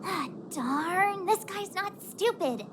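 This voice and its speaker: A woman's voice: very high-pitched, expressive, takes it slowly, background noise, heavily acted. An actress playing a cheerful, curious young girl sidekick in a video game, with a cheeky manner.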